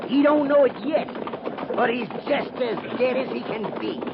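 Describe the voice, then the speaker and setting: An actor playing a needling, bitter cavalry trooper in a 1950s radio western, taunting in folksy frontier speech. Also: male voice, very high-pitched, moderate pace. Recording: noisy muffled 1950s radio recording.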